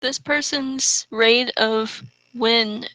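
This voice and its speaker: A woman's voice: fairly high pitch, fairly even intonation, very slow, bright, forceful tone, dry recording, rough recording. A woman co-hosting a comedic reading, bantering casually in a playful, giggly manner. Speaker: co-host of a comedic fanfiction reading. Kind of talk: casual banter and mocking read-aloud.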